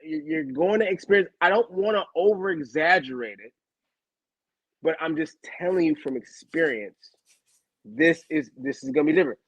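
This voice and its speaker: A man co-hosting a casual podcast as a former player, telling stories in an animated, slangy way and often repeating himself. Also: on the high side, moderate pace, breathy edge, dry recording, poor audio. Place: home studio, video call. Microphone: video call setup.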